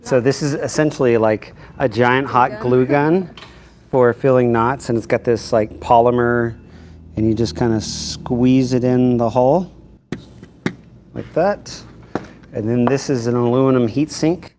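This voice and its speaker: A man's voice: medium pitch, steady tone, unhurried, a little mellow. A middle-aged man narrating his woodworking in a casual, jokey, matter-of-fact way from his workshop. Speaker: woodworking video maker. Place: woodworking shop.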